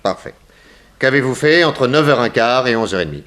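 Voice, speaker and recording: An actor playing a firm, sceptical police detective who presses suspects sardonically in a 1960s French radio serial. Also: male voice, medium pitch, lively intonation, a little fast, fairly bright tone, lightly acted. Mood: pressing. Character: insistent, coolly sceptical male police detective interrogating suspects.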